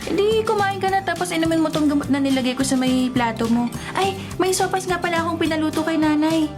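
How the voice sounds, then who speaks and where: A woman's voice: very high-pitched, lively intonation, fast talker. An actress playing a boyish, easygoing and caring young woman in a radio drama, speaking casually. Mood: breezy, caring. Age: young adult. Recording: music bed behind radio drama dialogue. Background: music.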